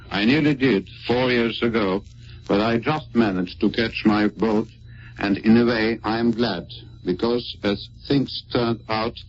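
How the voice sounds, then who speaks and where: A man with a Polish accent, a squadron leader, giving a scripted radio address in the 1940s. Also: on the low side. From Poland. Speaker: air force squadron leader, guest speaker. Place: radio studio. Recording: muffled, noisy 1940s radio recording.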